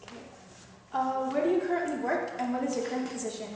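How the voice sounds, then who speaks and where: A woman's voice: sits high, quick pace, darkish tone, far from the mic. A woman interviewing a guest, reading prepared questions in a formal way. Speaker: student interviewer. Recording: echoey room, voice from afar, faint noise.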